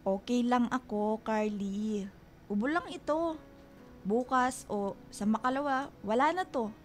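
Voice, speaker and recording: A middle-aged mother, acted by a woman, in a radio drama, speaking fairly high over music. She is ailing but tender and reassuring, fretting with gentle stubbornness. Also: measured pace, natural voice. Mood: worried, tender. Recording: music bed under dialogue. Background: background music.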